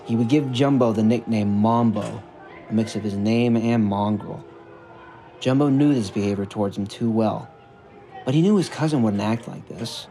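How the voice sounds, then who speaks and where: A man reading a scripted story narration in a measured, earnest way. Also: lowish voice, mellow. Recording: music bed under the narration. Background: background music.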